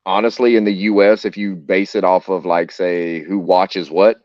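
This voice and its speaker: A man's voice: deep voice, flat delivery, moderate pace, darkish tone, dry recording, lo-fi sound. A man hosting a podcast in casual chat, loose and profane with lots of filler words. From United States. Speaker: podcast host.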